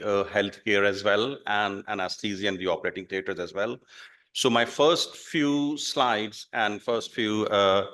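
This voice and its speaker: A man, a consultant anaesthetist, opening a conference talk, speaking hesitantly with frequent filler pauses. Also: on the low side, bright, forceful tone. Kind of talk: conference presentation.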